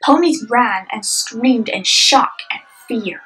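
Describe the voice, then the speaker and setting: A woman's voice: a little high, animated, takes it slowly, a bit bright, distant mic, poor audio. A young adult woman reading scripted narration for a dramatic story.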